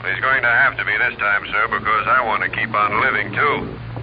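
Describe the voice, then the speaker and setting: An actor playing a determined, brave police inspector, reporting in a clipped, earnest way in a 1940s radio crime drama. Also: male voice, on the low side, fairly even intonation, moderate pace, lightly acted. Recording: muffled, faintly noisy 1940s radio recording.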